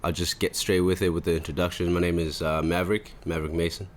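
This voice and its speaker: Young male podcast host, low-pitched voice, loose slangy rambling delivery. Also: flat delivery, fast. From Zimbabwe. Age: 20s. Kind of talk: casual chat.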